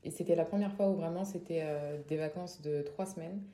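A young woman with a Parisian accent, a singer talking casually as an interview guest, relaxed with frequent laughs and fillers. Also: sits low, a little fast. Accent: Parisian French. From French Caribbean family. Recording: echoey room.

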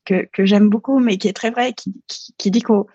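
Guest, woman, 30s, French, halting and reflective, dry slightly dull sound. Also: medium pitch, fairly even intonation, dark tone, breathy edge, no echo. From France. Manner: hesitant, many fillers and restarts. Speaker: podcast guest. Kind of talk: casual interview talk.

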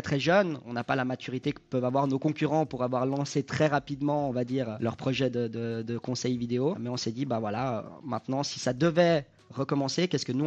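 A man's voice: medium pitch, darkish tone. A man in his thirties from Switzerland, answering interview questions in a hesitant way with many fillers and restarts. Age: 30s. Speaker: guest, e-commerce project leader. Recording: dull lo-fi sound.

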